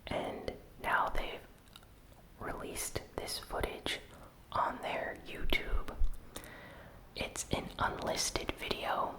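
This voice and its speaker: Slow, breathy ASMR whisper. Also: low-quality recording. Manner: slow, soft, unvoiced whispering. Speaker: host of a gaming news channel. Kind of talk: whispered ASMR news recap.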